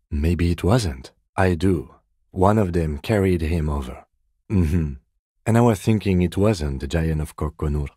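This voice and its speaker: A male actor playing a composed, meticulous police detective in a video game, with a measured, restrained, dryly stern delivery. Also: very deep voice, mellow, slightly rough, dry recording, studio quality, exaggerated voice. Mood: measured, questioning. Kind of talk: scripted game dialogue.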